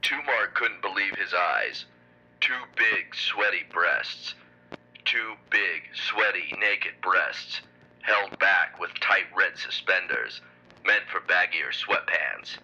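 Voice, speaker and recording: A man narrating scripted pulp fiction in a raspy, deadpan style. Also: low voice, takes it slowly, full character voice. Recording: muffled, radio-like sound.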